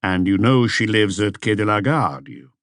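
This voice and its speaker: A calm, probing detective chief inspector, voiced by a male narrator, speaks low and measured. He questions with polite insistence in a dry audiobook recording. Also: no echo. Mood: pressing.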